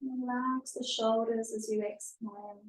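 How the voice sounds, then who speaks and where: A woman yoga instructor leading a guided stretching and breathing class in a gentle, soothing, unhurried manner, recorded live in a studio. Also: a little high, fairly even intonation. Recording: echoey room, distant mic.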